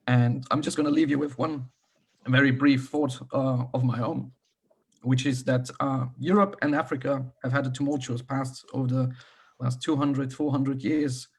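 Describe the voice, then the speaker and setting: A man opening an online panel discussion while sick, his voice husky and frayed. He talks with many fillers and restarts, and makes dry, self-deprecating remarks about his fading voice. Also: medium pitch, fairly even intonation, normal speed. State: sick, losing his voice, coughing. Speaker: event co-host. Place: video call.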